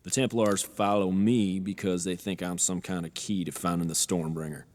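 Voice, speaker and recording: An actor playing a calm, calculating warlord general in a fantasy audio drama, measured, matter-of-fact and assured. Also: male voice, low-pitched, close to an everyday voice. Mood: coolly assured. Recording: long reverberant hall sound.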